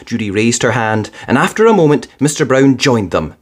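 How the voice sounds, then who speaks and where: A storyteller giving an expressive children's read-aloud narration. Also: mid-range voice, fairly quick.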